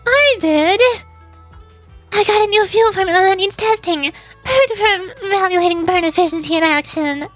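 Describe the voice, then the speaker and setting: A woman plays an excitable young pyromaniac girl from a video game. Her voice is pitched very high and bubbly, full of eager mischief, with faint music behind it. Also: normal speed. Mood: excited, eager.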